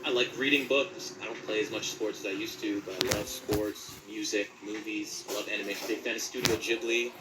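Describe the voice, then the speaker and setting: Man, voice actor guest. Informal, reflective interview talk, average pitch, off-mic with heavy background noise. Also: steady tone, a little fast, hardly any pauses. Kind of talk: casual interview chat. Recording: distant mic, loud noise and clicks. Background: loud noise.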